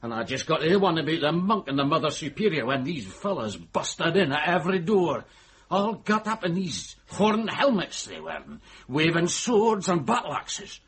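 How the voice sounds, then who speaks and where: A male club comedian in a radio drama. He is blunt, foul-mouthed and irreverent, spinning out slangy stories with a very lively, swinging pitch. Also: normal speed, slightly rough, heavily acted. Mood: irritated, animated.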